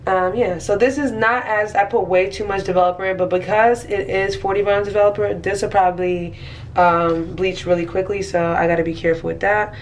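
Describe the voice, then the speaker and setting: A woman's voice: mid-range voice, fairly even intonation, brisk. Casual woman vlogger in her thirties, congested and nasal, talks loosely to viewers at home over some background hiss. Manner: chatty, rambling, addresses viewers as guys. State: stuffy, nasal from allergies. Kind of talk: casual vlog chat to viewers. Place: small apartment kitchen, indoors.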